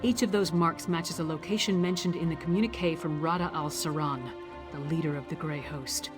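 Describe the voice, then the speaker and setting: A woman's voice: low voice, fairly quick, lightly acted. A woman playing a confident, no-nonsense warrior and tactician in a fantasy video game, speaking in a blunt, assured way. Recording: prominent game music behind the voice.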